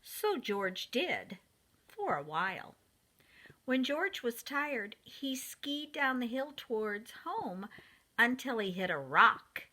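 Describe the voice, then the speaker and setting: A woman reads a storybook to preschool children with a very lively, swooping pitch. Her delivery is slow and deliberate, and her voice is bright and clear in its address to the young listeners. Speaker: preschool teacher reading a picture book.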